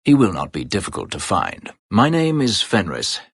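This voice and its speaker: An actor playing a brooding, bitter escaped-slave elf warrior in a fantasy video game, terse, guarded and drily sardonic, with a gravelly voice. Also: male voice, wildly swooping pitch, moderate pace, no echo, clean studio sound, full character voice. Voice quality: gravelly, husky low voice. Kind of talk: dramatic fantasy video game character dialogue.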